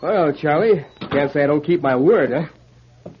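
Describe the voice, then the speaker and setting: An actor playing an obliging, earnest frontier cabin dweller in a radio drama, with plain, folksy speech. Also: male voice, fairly high pitch, expressive, a little slow. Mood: hearty, concerned. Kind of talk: 1940s radio acting. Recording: noisy, muffled old radio recording.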